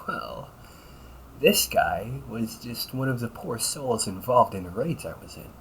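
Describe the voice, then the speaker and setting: A man's voice: medium pitch, lively intonation, measured pace, a bit bright, a little hiss or hum, low-quality recording. A man playing a cynical, teasing vampire cop in an audio roleplay, talking in a slangy, sarcastic and flippant way. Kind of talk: audio roleplay, casual conversational acting.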